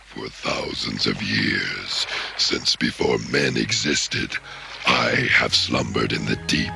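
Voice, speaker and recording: Immensely deep, ancient sea monster. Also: male voice, steady tone, exaggerated voice. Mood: brooding. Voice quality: deep, gravelly. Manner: grandiose, slow archaic speech. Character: ancient massive sea monster, menacing, imperious, scornful of mortals. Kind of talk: theatrical cartoon villain acting. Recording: loud dramatic music behind.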